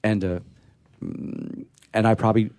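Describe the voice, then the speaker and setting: A man reading poetry aloud in a measured way and commenting on it casually, as on a radio program. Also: on the low side, fairly even intonation, dark tone, clean tone.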